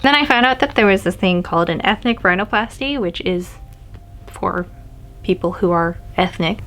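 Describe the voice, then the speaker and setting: Young woman vlogging, chatty and candid, middle pitch, just talking to the camera. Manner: candid, chatty, laughs often. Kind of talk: casual personal vlog talk.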